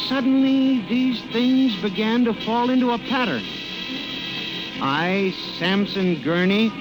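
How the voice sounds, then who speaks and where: A man's voice: fairly high pitch, poor audio. An actor playing an anxious male office scientist narrating his descent into paranoia in an old-time radio drama, with a tense, melodramatic delivery. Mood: fearful, intense. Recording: vintage radio drama with music underneath.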